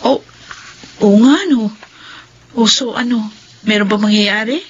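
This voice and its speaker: A woman's voice: mid-range voice, fairly even intonation, a little slow, slightly rough, highs cut off. A woman playing a curious, apologetic close friend in a radio drama. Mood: casual.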